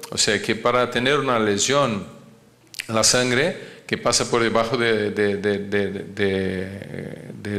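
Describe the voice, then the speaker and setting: A man who is not a native Spanish speaker gives a medical lecture, often halting as he searches for words. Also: fairly low pitch, fairly bright tone. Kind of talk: conference lecture.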